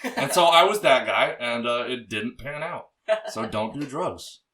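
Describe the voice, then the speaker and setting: A young man with a low, bassy voice, chatting as a podcast guest in a loose, rambling way, full of fillers and swearing. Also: expressive, a little slow, bright, forceful tone, far from the mic. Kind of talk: casual interview chat.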